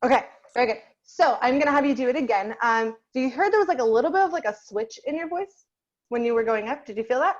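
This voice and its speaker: A fairly high-pitched young woman teaching singing over a video call, warm in her encouragement and chatty, with plenty of "um" and "like". The sound is dull and lo-fi, as if through a laptop connection. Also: expressive, fast. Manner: encouraging, chatty, many fillers. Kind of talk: online group singing class.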